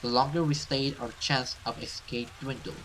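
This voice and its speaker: A man's voice: mid-range voice, steady tone, normal speed, fairly bright tone, slight background noise, poor audio. A man reading a horror visual novel aloud as a gamer, narrating it theatrically. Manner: theatrical, with casual swearing asides. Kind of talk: dramatic let's play narration of a horror story.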